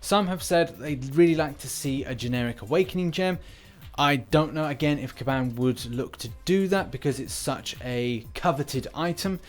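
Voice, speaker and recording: A man's voice: fairly high pitch, normal speed. A man hosting casual gaming news commentary in a conversational, slightly rambling manner. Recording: voice-over with music behind.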